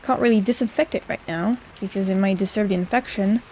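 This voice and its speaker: A woman's voice: medium pitch, fast, a little mellow, a little hiss or hum, lightly acted, rough recording. A woman playing a snarky but caring apothecary in an intimate fantasy audio roleplay, chatty and teasing in a casual way. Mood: focused, teasing.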